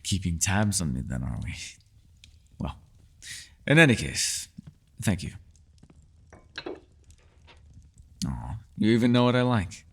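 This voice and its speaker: Man voicing a low-voiced, wildly expressive elf gentleman. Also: normal speed, slightly rough, heavily acted. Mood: playful, teasing. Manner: teasing, formal old-fashioned phrasing, coy. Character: mysterious old male elf, teasing, secretive and courteous. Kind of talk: ASMR fantasy roleplay voice acting.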